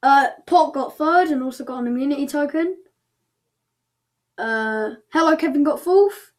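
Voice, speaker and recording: A woman's voice: high voice, moderate pace. A woman casually announcing results as the host of an online show, chatty and thinking aloud as she goes.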